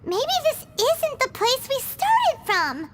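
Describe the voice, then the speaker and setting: A woman voicing a small, excitable, childlike fairy companion with a squeaky voice in a video game. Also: slow, faint music behind, exaggerated voice. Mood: puzzled, worried. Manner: refers to self in third person, exclaiming. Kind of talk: English video game voice acting.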